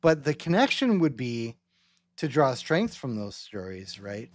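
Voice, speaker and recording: A man hosting a casual theological discussion, thinking aloud in halting, rambling phrases and often checking in with rhetorical questions. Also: medium pitch, very animated. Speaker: host.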